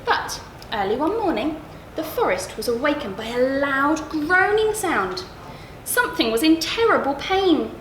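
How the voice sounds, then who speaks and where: A woman narrating a children's bedtime story in an expressive, deliberate storytelling manner with stressed words. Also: high voice. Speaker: story reader at a school. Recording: roomy echo, clear background noise.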